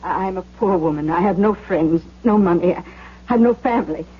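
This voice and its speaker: An actress playing a frail, obsequious old cleaning woman, a poor and desperate mother who speaks haltingly and imploringly in 1970s radio drama. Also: lowish voice, husky, highs cut off. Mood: pleading, humble. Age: older.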